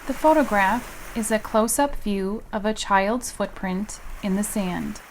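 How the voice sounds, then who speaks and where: Woman audio describer, plain and steady, reads out picture descriptions. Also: mid-range voice, unhurried, slight background noise, rough recording. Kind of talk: plain descriptive narration of photographs.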